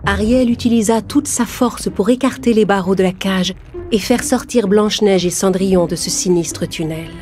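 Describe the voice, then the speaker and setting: A woman's voice: medium pitch, normal speed, a little mellow. A woman narrator reading a scripted fairy tale for children. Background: background music.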